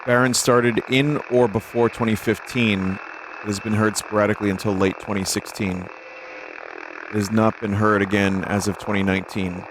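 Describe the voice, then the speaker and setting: A man's voice: lowish voice, steady tone, moderate pace, noisy background. A man hosting a livestream, reading text aloud and commenting casually. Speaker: streamer and host.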